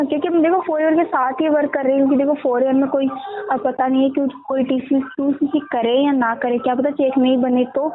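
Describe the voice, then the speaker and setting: A woman explaining a sales pitch on a phone call, often asking for agreement. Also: a little hiss or hum. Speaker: network marketing recruiter. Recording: tinny phone call recording.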